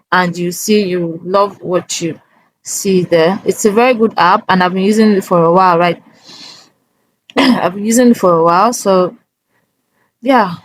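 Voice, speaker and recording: A woman presents a casual how-to at an unhurried pace. Her medium-pitched voice goes step by step, haltingly, with frequent little check-ins. The sound is close and dry. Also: no room sound, poor audio. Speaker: tutorial host. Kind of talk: casual screen-recorded software walkthrough.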